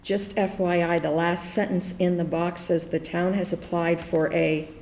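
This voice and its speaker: Slow-talking woman with a low voice. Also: flat delivery, darkish tone, roomy sound, slight background noise, rough recording. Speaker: committee member. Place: town meeting room.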